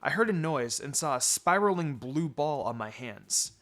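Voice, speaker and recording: A man's voice: fairly high pitch, expressive, normal speed, a bit bright. A man reading fan fiction aloud as a dramatic, theatrical narrator who acts out the dialogue. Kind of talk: dramatic scripted narration of a story. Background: background music.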